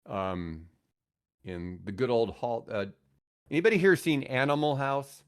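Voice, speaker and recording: A man's voice: mid-range voice, measured pace, smooth. A man in his sixties telling stories casually as a podcast guest, rambling and circling back to rephrase. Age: 60s. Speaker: podcast guest, former radio broadcaster. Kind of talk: casual storytelling in a podcast interview.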